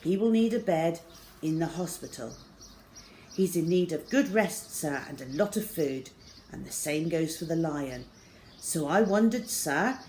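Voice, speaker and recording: A woman's voice: lowish voice, darkish tone, a little hiss or hum. Woman reading as an earnest, polite young soldier. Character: earnest, polite young male soldier, determined animal lover.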